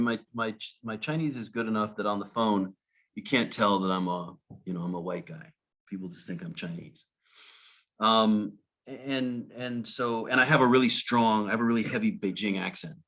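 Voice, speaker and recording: A middle-aged man speaking as a guest at a club meeting, telling anecdotes in a halting way with frequent restarts and chuckles. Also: lowish voice, a bit bright. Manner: halting, full of restarts and laughs. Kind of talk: casual talk.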